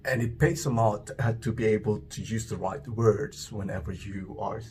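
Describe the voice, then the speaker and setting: A man's voice: fairly low pitch, steady tone, darkish tone. Filipino man enunciating carefully, faint noise. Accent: Filipino English, between American and British. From Philippines. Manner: careful enunciation, frequent restarts and pauses. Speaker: vlogger. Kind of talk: reflective solo monologue.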